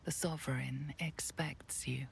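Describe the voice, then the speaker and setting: A woman playing a grave, ominous narrator in a fantasy video game, delivering scripted narration in a hushed, portentous way. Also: extremely low pitch, steady tone, measured pace, vocal fry, background noise, full character voice. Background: music.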